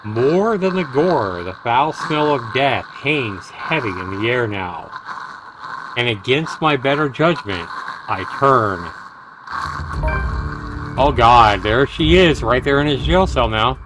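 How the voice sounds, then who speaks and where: Slow-paced man reading a game aloud. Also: medium pitch, lively intonation, background noise. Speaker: game streamer. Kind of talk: reading a visual novel aloud with live reactions. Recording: music behind the voice. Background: game music.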